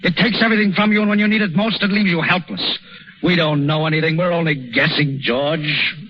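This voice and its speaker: A man's voice: a little high, fairly even intonation, brisk, a little hiss or hum, natural voice. An actor playing a dedicated young male doctor, tormented and earnest, in 1930s radio drama style. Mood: despairing. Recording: muffled old radio recording.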